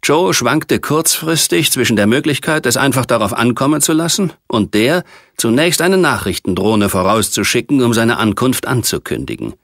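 A man narrating a science fiction novel as an audiobook, reading in a measured, precise literary manner. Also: medium pitch, lively intonation, a bit bright, no echo, studio quality.

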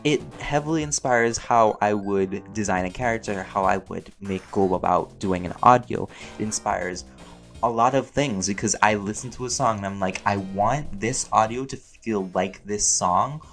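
A man's voice: fairly low pitch, normal speed, a bit bright. A man giving a casual, rambling, playful Q&A as a voice actor, chatty and thinking aloud. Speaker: voice actor and audio creator answering fan questions. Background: music under the voice.

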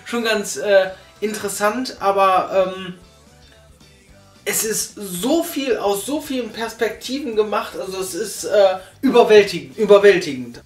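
A man's voice: high-pitched, a little slow. A young man reviewing a radio drama in casual chat, hesitant, with many fillers and restarts, sitting on a sofa. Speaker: podcast reviewer. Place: living room, sitting on a sofa. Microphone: far camera. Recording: roomy, far from the mic, faint music.

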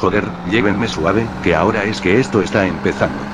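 A man in his thirties, a gaming YouTuber, calmly explaining things in voice-over narration. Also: deep voice, fairly quick, heavy background noise. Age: 30s. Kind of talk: voice-over narration over gameplay footage. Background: background music.

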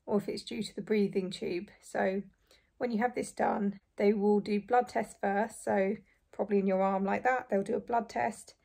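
A young woman in her twenties, hoarse and croaky after surgery, chatting casually to camera from a small bedroom. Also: medium pitch, steady tone, normal speed, warm tone. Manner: chatty, relieved, frequent light laughs. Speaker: vlogger. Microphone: phone or camera close in front.

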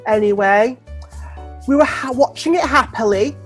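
A man's voice: very high-pitched, moderate pace, faint music behind. A British man playing a camp, petulant superhero sidekick in a comic radio play, theatrical and huffy. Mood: aggrieved, bitter. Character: camp, petulant young male superhero sidekick, aggrieved partner.

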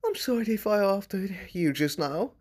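A man voicing a flustered, gentle, soft-hearted male demon in a cartoon comic dub. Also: sits high, lively intonation, normal speed. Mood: startled, apologetic. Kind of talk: fan comic dub acting.

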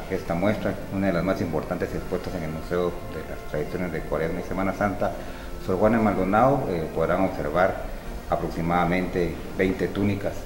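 A middle-aged man, a museum spokesman explaining an exhibition in a measured, informative way. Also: sits low. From Guatemala. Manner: measured, informative, with small restarts. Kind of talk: explanatory museum tour talk. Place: museum hall with exhibits, indoors. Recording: echoey hall with music behind. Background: music.

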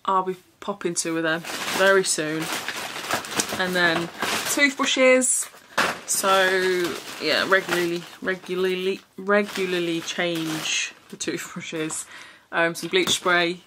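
A woman's voice: on the low side, takes it slowly, noisy background. A young woman in a small bedroom, chatting frankly and casually to camera about her haul, swearing now and then. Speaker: vlogger.

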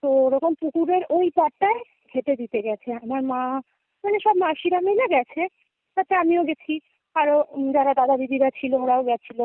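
A young woman speaks in a high voice with a West Bengal Bengali accent. She recounts her own experience in a casual, conversational way, often checking that the listener follows. It comes through a thin, tinny phone line with very little room sound. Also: animated, moderate pace, no room sound. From West Bengal, India. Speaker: guest caller. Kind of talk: casual personal storytelling. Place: remote call, dry sound. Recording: narrow tinny phone-call audio.

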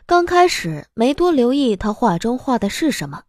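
A woman's voice: a little high, sing-song, normal speed, no echo, clean studio sound. A woman narrator reading a story in a dramatic audiobook style. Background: soft background music.

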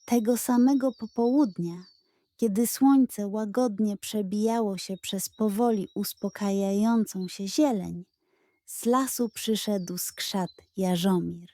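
A woman narrating a scripted children's audiobook in a gentle, expressive storytelling manner. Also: mid-range voice, slow, no room sound.

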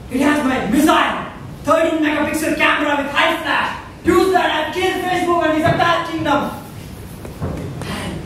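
A young man with an Indian accent and a high voice tells a tall tale as a prepared speech. He delivers it theatrically, with emphatic phrases and dramatic pauses. He is far from the mic in a very echoey room with clear background noise. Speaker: club speaker. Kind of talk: rehearsed comic storytelling speech. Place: meeting room with a small audience. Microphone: far camera. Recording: echoey room, distant camera mic, noisy.

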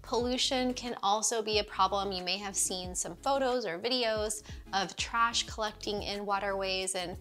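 A woman's voice: medium pitch, normal speed, a bit bright, soft background music. A woman in her thirties giving upbeat, scripted travel narration as a vlogger, recorded on a lapel mic in a small living room. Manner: upbeat, clear presenter delivery.

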